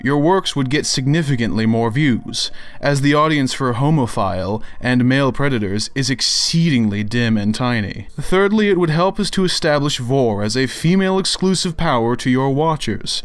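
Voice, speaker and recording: A man's voice: mid-range voice, lively intonation, moderate pace, few pauses, smooth, dry recording, lightly acted. Man putting on a pompous, indignant internet crank. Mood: haughty, menacing. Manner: pompous, theatrical, overly formal. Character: pompous, indignant male internet crank issuing grandiose demands. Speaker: narrator of read-out messages. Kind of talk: dramatic reading of written messages.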